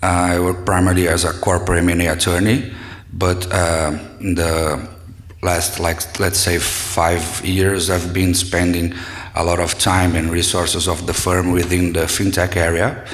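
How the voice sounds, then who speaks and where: A man's voice: low-pitched, little pitch movement, a little slow. A man with a Brazilian accent casually introducing himself as a panelist. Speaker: lawyer, panelist. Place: conference room. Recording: reverberant conference hall.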